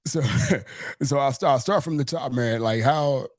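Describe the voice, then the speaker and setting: A man's voice: medium pitch, a little slow, no room sound, clean studio sound. A man from Mississippi with a Southern US accent, hosting a casual sports interview in a wordy way that circles back over phrases. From Mississippi, United States. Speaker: podcast host.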